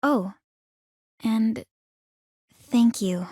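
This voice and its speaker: Composed, analytical young game heroine, higher-pitched. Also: female voice, dark tone, husky, dry recording, clean studio sound, exaggerated voice. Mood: composed, confident. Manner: measured, formal, articulate. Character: composed, analytical young woman, calm strategist and sister. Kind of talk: scripted video game character dialogue.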